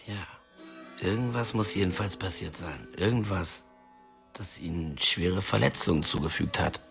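A man's voice: sits low, normal speed, creaky, fairly bright tone. An actor playing a blunt, slangy young small-town man, the cheeky and bitter best friend in a radio drama. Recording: music behind the voice.

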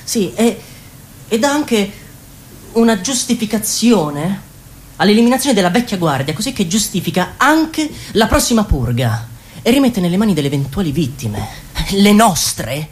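A woman playing a wary, analytical party member who thinks aloud in halting phrases in an Italian radio drama. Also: medium pitch, big pitch swings, natural voice. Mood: wary, anxious. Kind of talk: Italian radio theatre, confiding narration.

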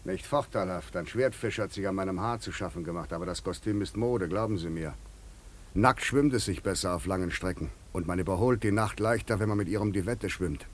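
Wry low-voiced castaway played by a man. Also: brisk, slight background noise, close to an everyday voice. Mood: wry, breathless. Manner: wry, eloquent, politely pushy. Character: shivering naked castaway man, ironic, insistent, uninvited guest. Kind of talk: German radio play acting.

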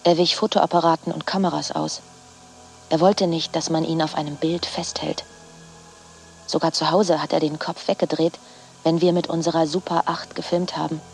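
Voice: medium pitch, flat delivery, normal speed, creaky, a little mellow, soft background music, lightly acted. An actor playing a grown stepchild who looks back reflectively in a radio drama interview.

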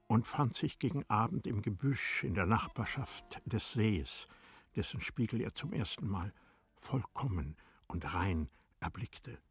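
Husky low-voiced male literary narrator. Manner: measured, formal storytelling. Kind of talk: scripted literary narration for radio drama.

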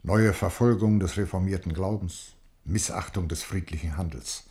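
A solemn envoy acted by a man addresses a monarch in a deep male voice. He is dignified, formal and deliberate, with an anxious gravity suited to historical radio drama. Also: a little mellow, lightly acted. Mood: solemn, concerned. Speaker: actor. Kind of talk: German radio play acting.

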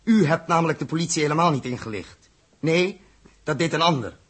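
An actor playing a suspicious, commanding space captain in a 1960s Dutch radio drama, clipped and confrontational. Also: male voice, fairly high pitch, animated, fairly quick, a bit bright, husky.

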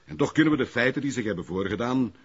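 An actor playing a pompous, evasive middle-aged burgomaster in a TV crime drama, with formal, wordy phrasing and a Flemish Belgian accent. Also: male voice, mid-range voice, expressive, fast, slightly rough. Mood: formal, firm. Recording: muffled, lo-fi broadcast audio.

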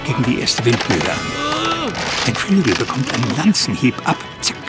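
Male radio drama narrator, lively range, dramatic storytelling, loud music behind. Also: mid-range voice, moderate pace. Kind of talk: scripted present-tense action narration.

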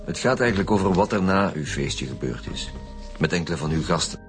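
An actor playing an irritable, blunt senior Flemish police detective in a radio drama. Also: male voice, low-pitched, lively intonation, a little fast, vocal fry. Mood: businesslike. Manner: blunt, businesslike. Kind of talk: naturalistic radio drama acting. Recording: music under the dialogue.

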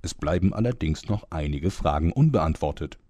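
A man's voice: a bass voice, animated, normal speed, mellow, dry recording. A man playing the tongue-in-cheek announcer of a comic radio serial, narrating from a script. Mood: mock-serious.

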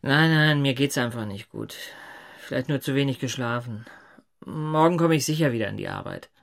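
An actor playing a hesitant, lovestruck single man in a radio play, brooding and tender with a gravelly creak. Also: medium pitch, normal speed, a bit bright, no room sound, studio quality. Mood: subdued, tender. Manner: hesitant, brooding, short self-questioning phrases. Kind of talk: radio play narration and inner monologue. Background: music.

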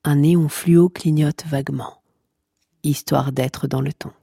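An actor playing a mock-dramatic, deadpan narrator of a comic podcast fiction, speaking conspiratorially. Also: male voice, a little high, measured pace, gravelly, slightly rough, no room sound, studio quality, full character voice. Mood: suspenseful. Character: mock-solemn tongue-in-cheek noir narrator.

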